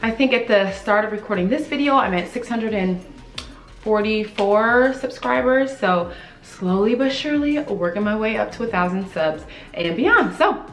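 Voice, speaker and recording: A woman's voice: medium pitch, expressive, moderate pace. A woman in her thirties greeting viewers in an upbeat, chatty way, filmed at home with a camera on a tripod. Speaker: home decor vlogger. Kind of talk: casual on-camera vlog talk. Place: bright room at home, indoors. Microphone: camera on a tripod at a short distance. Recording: echoey room sound.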